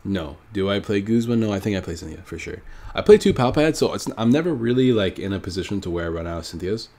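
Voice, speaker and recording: A man's voice: lowish voice, lively intonation, quick pace, warm tone. A man with a stuffy, nasal voice from a cold, casually thinking aloud while commenting on his own card game match. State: sick, stuffy nose. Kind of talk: live gameplay commentary.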